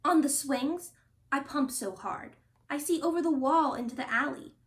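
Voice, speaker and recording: A woman hosting a storytime, reading a children's picture book aloud with expressive, deliberate phrasing. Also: high voice. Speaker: storytime host and reader.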